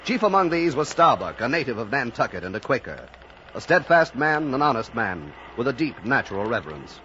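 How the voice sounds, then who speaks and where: An actor playing an earnest young sailor who narrates a whaling tale in measured, literary radio-drama style. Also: male voice, medium pitch, very animated, sharp tone, slight background noise. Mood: measured storytelling. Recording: muffled vintage radio recording.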